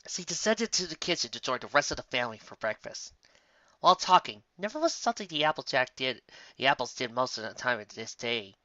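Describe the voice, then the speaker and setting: Forceful male story narrator, lo-fi sound. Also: medium pitch, dry recording. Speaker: fan fiction narrator. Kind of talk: expressive scripted story narration.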